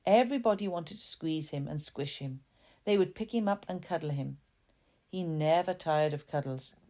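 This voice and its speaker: Female storyteller, low and mellow, gently confiding narration with chatty asides. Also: moderate pace. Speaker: author reading own story.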